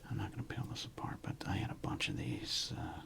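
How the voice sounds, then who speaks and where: A man hosting an ASMR video, speaking in a breathy whisper with a gravelly creak, rambling casually as if musing to himself. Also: deep voice, expressive, brisk, bright, forceful tone. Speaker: host of a sports card ASMR channel. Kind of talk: ASMR, unscripted whispered commentary on a card collection.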